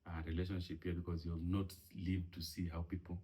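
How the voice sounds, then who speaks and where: A man's voice: sits low, flat delivery, fairly quick, dark tone, breathy edge. A man with a Kenyan accent hosting a casual interview, prompting his guest with loose questions and frequent restarts. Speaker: podcast host.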